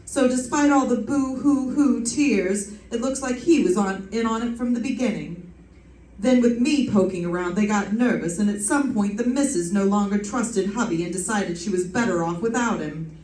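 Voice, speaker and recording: A woman's voice: medium pitch, moderate pace, a little mellow, lightly acted. A woman playing a wry, hard-boiled private detective in a live old-time radio mystery, telling it in slangy style. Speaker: radio drama performer. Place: live stage performance in a reverberant room. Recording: echoey room, picked up from a distance.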